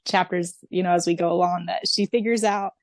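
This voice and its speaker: A woman's voice: on the low side, steady tone, a little fast, no room sound. A woman co-hosting a podcast, chatting casually and musing as she talks. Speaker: podcast co-host. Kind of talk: casual chat.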